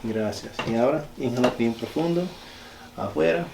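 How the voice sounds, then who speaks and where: A man working as a chiropractor, calmly guiding a patient through treatment with short, polite, reassuring phrases. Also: medium pitch, normal speed, creaky. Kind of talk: calm hands-on treatment instructions to a patient. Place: echoey indoor treatment room. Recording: echoey room with loud background noise.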